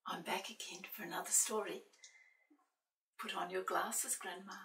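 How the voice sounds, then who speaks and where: A woman's voice: mid-range voice, fairly even intonation, moderate pace, sharp tone. An older woman presenting a children's storytime, reading a picture book in a playful sing-song way with questions to her young listeners. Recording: roomy echo, distant microphone.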